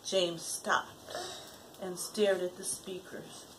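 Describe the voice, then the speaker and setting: A woman's voice: on the low side, slow pace. An older woman, a grandmother, reads a children's story aloud expressively, dramatizing it and checking in with her young listeners. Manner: dramatic, with gasps, hushed passages and questions to listeners. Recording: home recording with steady background noise.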